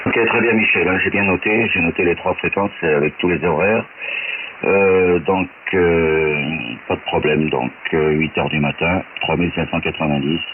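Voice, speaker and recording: A man's voice: deep voice, fairly even intonation, normal speed. A man chatting casually as an amateur radio operator over a shortwave transmission. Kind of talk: casual ham radio chat. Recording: narrow-band shortwave radio, clear hiss.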